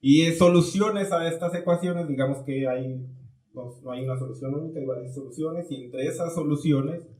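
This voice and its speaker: A man's voice: a little high, normal speed, dark tone. A man co-hosting a podcast, asking long, rambling questions that he corrects as he goes. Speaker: podcast co-host. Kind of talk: casual interview questions. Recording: roomy echoey room.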